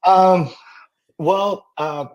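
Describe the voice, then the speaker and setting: Halting Bulgarian-accented man telling his story. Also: high voice, muffled recording. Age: middle-aged or older. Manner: halting, candid. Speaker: guest, company founder. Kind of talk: personal storytelling in an interview.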